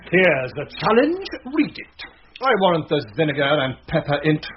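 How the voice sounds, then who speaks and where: An actor playing a foolish, vain would-be duellist, eager and boastful, in Shakespearean radio drama. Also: male voice, a little high, very animated, normal speed. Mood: proud eagerness. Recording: dull, faintly noisy early-1990s radio recording.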